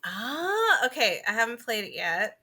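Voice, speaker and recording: A woman's voice: a little high, very animated, a little slow, pushed and bright. A woman co-hosting a podcast, talking casually and informally about video games, often saying "like". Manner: informal, full of "like". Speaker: podcast co-host.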